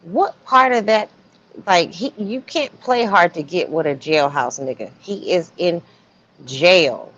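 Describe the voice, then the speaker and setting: A woman with a slightly low voice hosts a casual gossip stream. She talks in a sassy, colloquial style and throws out rhetorical questions. The audio is dull and lo-fi. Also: slow, fairly bright tone. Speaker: host of a gossip livestream. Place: remote online livestream.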